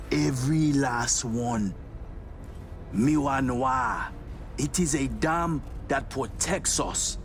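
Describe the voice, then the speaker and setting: Slow-spoken, wary netrunner man. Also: a little high. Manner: theatrical, clipped broken English, deliberate. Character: wary blind male netrunner, cynical, guarded, dramatic. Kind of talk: cinematic video game acting.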